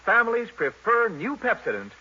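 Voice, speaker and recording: A man's voice: extremely high pitch, big pitch swings, normal speed, sharp tone. A man announcing and selling in a 1940s radio style, emphatic and polished. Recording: muffled vintage radio broadcast.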